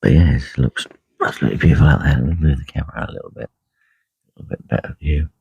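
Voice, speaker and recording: A man with a croaky voice talking quietly and unhurriedly on a live stream from a lake bank, full of ums and uhs. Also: extremely low pitch, quick pace, no echo. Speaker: carp angler livestreaming. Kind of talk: casual live stream chat. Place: lakeside fishing swim outdoors. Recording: dull lo-fi live stream audio.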